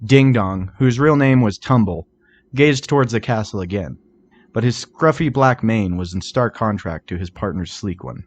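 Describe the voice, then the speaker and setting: A man reading a fan fiction story aloud in expressive scripted narration. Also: on the low side, moderate pace.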